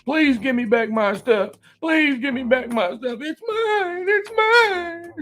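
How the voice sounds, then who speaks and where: A man's voice: extremely high pitch, lively intonation, takes it slowly, pushed and bright, pitched above the performer's own voice, heavily acted. A man putting on a whining grown man who begs to get his things back. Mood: mocking whine. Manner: mocking, whiny.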